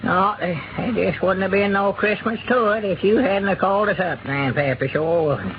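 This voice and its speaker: An actor playing a good-hearted, agreeable old farmer who repeats himself in a folksy rural Arkansas accent, in a 1930s radio drama. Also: male voice, high-pitched, moderate pace. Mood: eager, warm. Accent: rural Southern US, Arkansas. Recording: noisy, dull 1930s radio recording.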